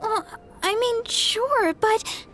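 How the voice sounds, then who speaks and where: Squeaky-high timid young nun. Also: female voice, very slow, fairly bright tone, heavily acted. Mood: flustered. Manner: hesitant, stammering, meek. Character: timid, trusting, flustered young church sister. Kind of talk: video game voice acting. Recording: music playing behind the voice.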